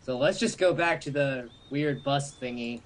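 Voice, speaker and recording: A man's voice: mid-range voice, moderate pace. A man reading game dialogue aloud and breaking off into rambling, joking asides, on an amateur recording. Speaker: amateur voice-over player in a group. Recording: noisy amateur home recording.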